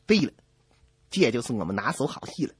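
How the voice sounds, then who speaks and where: Male comic co-host: strongly husky voice, big pitch swings, playful radio banter, dry close sound. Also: very animated, brisk, no echo. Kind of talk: exuberant comic banter and joke-telling.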